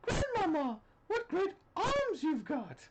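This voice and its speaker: Woman voicing a very high-pitched innocent little girl. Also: huge pitch swings, takes it slowly, very noisy, heavily acted. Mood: amazed. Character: innocent, curious little country girl in a fairy tale.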